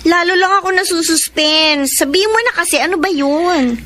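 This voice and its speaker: An actress playing a polite, excitable young housemaid, humble and wide-eyed, in a radio drama. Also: female voice, very high voice, normal speed, a little hiss or hum. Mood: curious. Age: young adult. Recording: music bed behind the voice.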